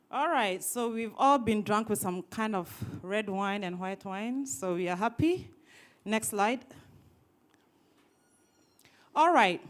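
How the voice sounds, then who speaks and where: Lively woman running a training workshop. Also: fairly high pitch. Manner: lively, teasing, repeats others' words back. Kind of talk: interactive training session. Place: training room with an audience.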